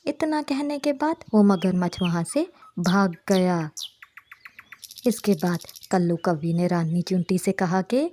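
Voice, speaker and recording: A woman's voice: lowish voice, measured pace, dark tone. A woman narrator reading a scripted moral story for a cartoon in storybook style.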